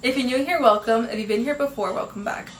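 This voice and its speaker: A chatty teenage girl with a slightly higher voice hosts her podcast, upbeat and full of fillers. She sounds a touch nasal from a cold. It is recorded in an echoey room at home with a faint hum of ambience behind her. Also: fast. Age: teens. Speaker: podcast host. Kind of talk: casual chat.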